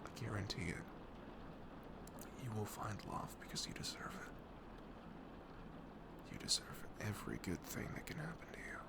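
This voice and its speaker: A young man playing a caring, reassuring best friend in an intimate ASMR roleplay, soft-spoken and earnest, with audible noise in the recording. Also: lowish voice, very animated, moderate pace, pauses often. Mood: tender, soothing. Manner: soft-spoken, often breathy, hesitant, earnest. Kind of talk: ASMR roleplay acting, intimate casual talk.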